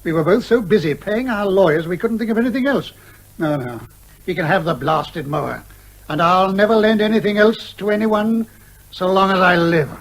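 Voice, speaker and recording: An actor playing an exasperated, blustering older British major with old-fashioned turns of phrase, in a radio comedy drama. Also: male voice, sits high, moderate pace, rough recording. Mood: exasperated, resigned.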